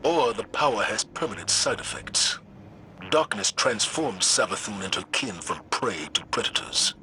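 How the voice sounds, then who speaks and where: A man playing a stern, wary veteran commander in a game, measured and authoritative. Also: on the low side, expressive, pushed and bright. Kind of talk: dramatic game dialogue. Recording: noisy game sound effects behind.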